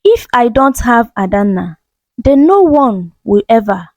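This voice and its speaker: Woman voicing an insistent jilted man, slow and expressive. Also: on the high side, no echo. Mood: angry, determined. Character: jilted young man, pleading, obsessive and angry.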